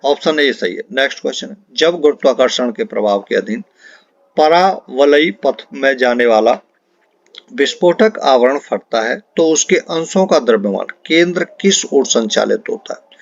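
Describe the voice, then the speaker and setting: A male teacher leads an exam-prep quiz lesson in a mid-pitched voice. He is instructive and repeats questions and answers so they stick. The recording is dry and a little muffled and lo-fi. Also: animated, moderate pace, vocal fry, no echo. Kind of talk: explanatory quiz lesson, talking to students.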